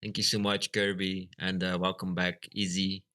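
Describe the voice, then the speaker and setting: A Flemish man from Belgium whose first language is Dutch, chatting casually on a live stream, halting and full of fillers. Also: low-pitched, monotone, bright, forceful tone. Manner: halting, lots of fillers and restarts. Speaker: livestreamer.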